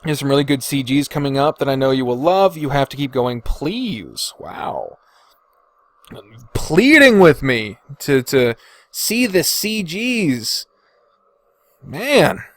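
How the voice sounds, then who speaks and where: A man's voice: medium pitch, sing-song, slow pace, no room sound. A man hosting a podcast, chatting informally and swearing freely as he reads and reacts to comments. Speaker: podcast host. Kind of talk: casual chat, reading and reacting to viewer comments.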